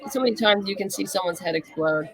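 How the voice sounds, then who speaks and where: Female interview guest, mid-pitched with noticeable vocal fry, informal and conversational. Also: fast talker, a bit bright, rough recording. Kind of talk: casual chat.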